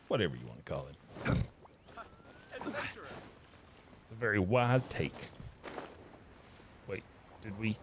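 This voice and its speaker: A man's voice: medium pitch, background noise. A man giving casual gameplay reaction commentary as a streamer. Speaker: gaming streamer.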